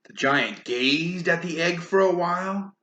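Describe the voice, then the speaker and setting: A woman reading a children's story aloud in an animated way, with casual asides. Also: lowish voice, a little slow, lo-fi sound. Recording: roomy echo, faint background noise.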